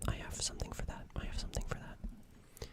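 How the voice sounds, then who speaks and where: Gentle alien nurse played by a woman, whispered ASMR, hesitant and reassuring. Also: fairly quick, lightly acted. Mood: concerned, careful. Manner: soothing, hesitant, repeats phrases softly. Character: gentle flustered alien nurse tending a crash survivor.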